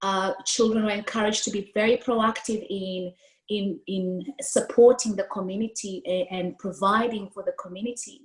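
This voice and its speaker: A woman from Kenya speaks with a Kenyan accent, her first language Swahili. She tells her life story in a lively, expressive way, talking with her hands. Her voice sits mid-range and comes through a video call with a roomy echo. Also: mid-range voice, normal speed. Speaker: guest speaker. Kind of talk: storytelling interview answer. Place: room at home, indoors.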